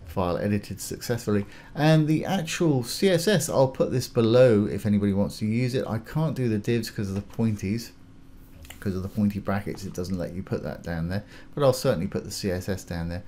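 A man hosting a casual step-by-step software tutorial, informally talking through each action as he goes. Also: on the low side, brisk. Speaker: tutorial host. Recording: clear background noise, keyboard typing.

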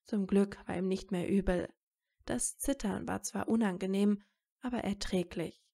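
Female narrator, mid-range pitch, scripted storytelling for audio fiction. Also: moderate pace, vocal fry, mellow.

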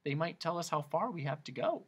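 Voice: medium pitch, animated, a little fast, slightly rough. A narrator voicing a timid, curious young boy in a storybook, polite and hesitant in manner. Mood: hopeful. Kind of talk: children's audiobook character voice.

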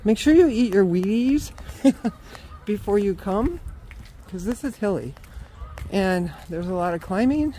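A woman's voice: mid-range voice, lively intonation, a little slow, pauses often, warm tone, slight background noise. A woman walking an outdoor wooded trail and filming with a handheld phone, talking casually and exclaiming often, out of breath from the climb. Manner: chatty, exclaims often, laughs. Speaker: vlogger.

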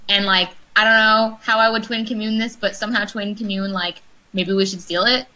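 A young woman chats quickly and playfully as a tabletop player. Her voice is bright and crisp. She is heard through a webcam mic on a home video call. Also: mid-range voice, fairly even intonation, rough recording. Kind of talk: casual tabletop banter.

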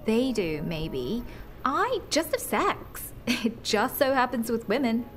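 Breezy blunt hairdresser in a radio soap, highish lively voice. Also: female voice, slow, a little hiss or hum. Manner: teasing, blunt. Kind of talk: radio soap opera acting.

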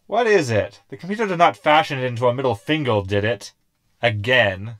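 A skeptical, easily unnerved hologram, voiced by a man, carps sarcastically through a sci-fi comedy drama. The voice is medium in pitch and recorded with no room sound at all. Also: expressive, a little fast, fairly bright tone, dry recording, close to an everyday voice. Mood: sarcastic. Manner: sarcastic, querulous.

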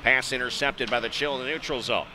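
An American man doing live radio-style play-by-play hockey commentary, measured in routine play and excitable on big plays. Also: mid-range voice. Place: indoor ice arena. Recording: noisy live rink broadcast.

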